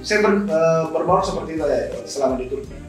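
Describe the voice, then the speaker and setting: A very echoey recording, picked up at some distance, carries a young man's slightly high voice. He talks as a guest on an informal talk show. He explains in a conversational way, often repeating a phrase before moving on. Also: lively intonation, a little fast. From Indonesia. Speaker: guest, scholarship alumnus. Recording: very echoey room, distant mic, music behind.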